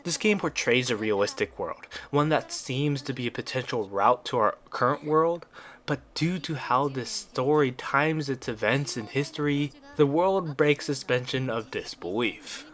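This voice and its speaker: A man narrating a scripted video review as a game critic, at a medium pitch. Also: measured pace, a bit bright.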